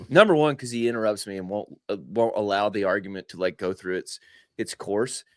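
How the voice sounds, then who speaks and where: A man's voice: lowish voice, a little fast, no room sound, clean studio sound. A married man co-hosting a podcast, wry and teasing in casual chat, keen on a good argument. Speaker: podcast co-host.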